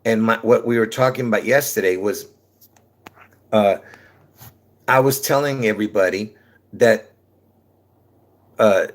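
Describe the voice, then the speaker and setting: A man's voice: fairly low pitch, measured pace, pauses often. A man with a New York accent hosting a livestream, talking to his viewers in a rambling monologue full of fillers, restarts and repeated points, calling them folks. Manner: repetitive, restarts often, many fillers, calls listeners folks. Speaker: livestream host.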